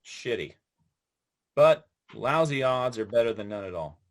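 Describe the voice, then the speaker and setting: An actor playing an earnest young defense lawyer who talks with small stammers. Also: male voice, mid-range voice, expressive, measured pace. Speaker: actor in a play reading. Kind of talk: stage play reading.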